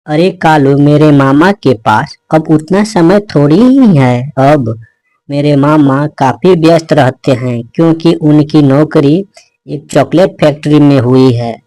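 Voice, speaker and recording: A man's voice: fairly high pitch, slow, warm tone, dry recording. A man voicing an evasive, boastful male parrot in a children's cartoon, defensive in manner. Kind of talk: Hindi children's cartoon dialogue.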